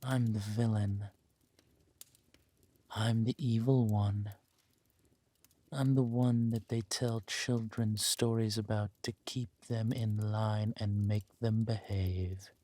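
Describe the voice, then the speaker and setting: Man playing dark fantasy villain, lowish pitch, level intonation, menacing, possessive lover, commanding, breathy murmurs, audio roleplay. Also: fairly even intonation. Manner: slow, deliberate, commanding, dropping to breathy murmurs.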